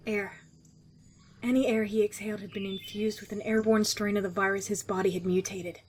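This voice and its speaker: A woman playing a guarded, sickly young survivor of cruel experiments in an audio drama, speaking in a wry, measured, confiding way. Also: medium pitch, fairly even intonation, a little hiss or hum, natural voice. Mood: grim, confiding.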